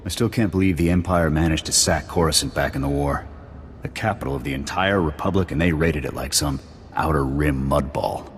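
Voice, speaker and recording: A seasoned male sergeant from a video game, gruff and blunt with an extremely deep voice. His words ring in a long reverb over faint noise. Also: brisk. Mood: reflective.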